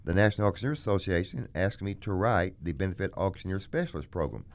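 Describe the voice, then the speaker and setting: A man hosting a radio show about auctions, a bit hoarse today, talking in a folksy, salesy way. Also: low voice, animated, fast talker. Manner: folksy, plugs his business. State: hoarse, frog in throat. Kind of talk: casual radio chat.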